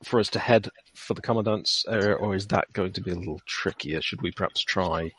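A man's voice: deep voice, a little fast, a bit bright, slightly rough, no echo. A man playing a tabletop game, making dry jokes and wry asides. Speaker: player. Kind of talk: casual tabletop game chat.

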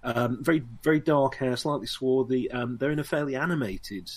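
A man's voice: mid-range voice, moderate pace. A man, narrating as game master, hesitant and full of ums and you-knows.

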